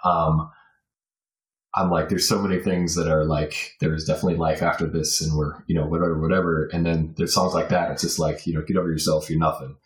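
A man's voice: extremely low pitch, little pitch movement, fairly quick. A man as a podcast guest, chatting casually and reflectively, rambling as he thinks aloud. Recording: roomy, echoey sound.

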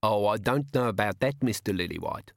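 A man's voice: on the low side, expressive, brisk, vocal fry, no room sound, clean studio sound. A man voicing a careful little criminal in an audiobook, with a neat small voice and a precise, polite manner. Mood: primly assured.

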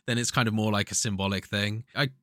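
A man's voice: lowish voice, fairly even intonation, quick pace, fairly bright tone, dry recording, clean studio sound. A man from England co-hosting a podcast, chatting casually and explaining at length with plenty of fillers and easy laughter. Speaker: podcast co-host. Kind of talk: casual chat.

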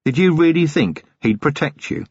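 A man voicing a weary, gruff city police commander who talks in a slow, deliberate, menacing way. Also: fairly high pitch, lively intonation, darkish tone, no room sound, highs cut off, voice pushed up. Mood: contemptuous.

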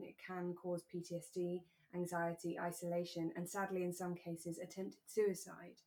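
A woman co-hosting a podcast, talking in a relaxed, earnest and reassuring way. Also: fairly low pitch, low-quality recording. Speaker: podcast co-host. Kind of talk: relaxed discussion of a radio drama.

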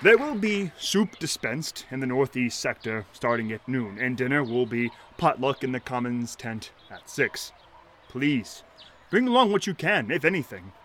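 An actor playing an earnest, pompous, kindly shantytown mayor with formal, florid speech in a 1930s audio drama. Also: male voice, medium pitch, animated, moderate pace, a bit bright, a faint crowd, slight background noise, lightly acted. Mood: rousing, solemn.

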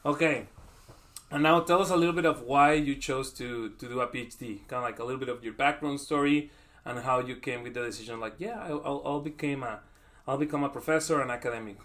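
Halting man hosting a conference podcast. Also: mid-range voice, fairly bright tone. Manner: friendly, halting, repeats words, non-native grammar. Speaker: podcast host. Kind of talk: interview podcast. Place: conference venue.

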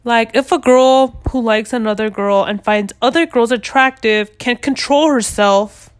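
A woman in her twenties from the United States, hosting a podcast in loud, blunt casual chat and swearing freely. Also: on the high side, measured pace, clear, resonant tone. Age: 20s. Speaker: podcast host.

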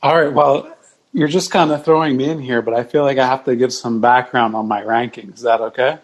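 A man chatting casually as a podcast guest, measured and explanatory. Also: medium pitch. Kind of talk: casual chat.

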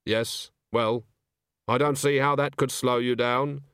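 A man's voice: medium pitch, steady tone, takes it slowly, fairly bright tone, clean tone, dry recording. A man voicing an officious coach agent with a dry, ironic way of speaking.

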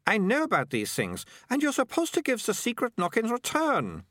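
A man's voice: fairly high pitch, huge pitch swings, a bit bright, husky, dry recording, studio quality, voice pushed up, exaggerated voice. A man voicing a bossy, dramatic young girl in a storybook, insistent and very sure of herself. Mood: annoyed, suspicious. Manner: insistent, brisk, self-assured.

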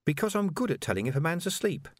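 A brisk, wry young woman, as a male narrator puts her on, practical and unimpressed. Her lines move with lively pitch. The storybook reading sounds dry and close. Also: no echo.

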